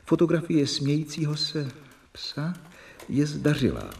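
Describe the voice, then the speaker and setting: An actor in a radio play, playing a young doctor who narrates his medical journal in measured, reporting tones. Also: a little high, sing-song, gravelly, darkish tone, distant mic. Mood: earnest. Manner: measured, reporting dates and symptoms.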